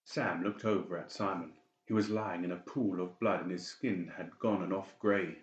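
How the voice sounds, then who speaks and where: A man with a low voice narrates a dramatic audiobook from a script. The recording has noticeable room echo and a dull, muffled tone. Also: steady tone, normal speed. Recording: muffled, echoey home recording.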